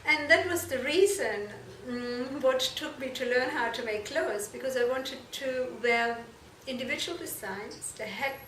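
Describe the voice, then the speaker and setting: A woman from Germany, answering interview questions earnestly and haltingly, correcting herself as she goes. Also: high-pitched, a bit bright. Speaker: fashion and shoe designer being interviewed. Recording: echoey room, distant mic, noisy background.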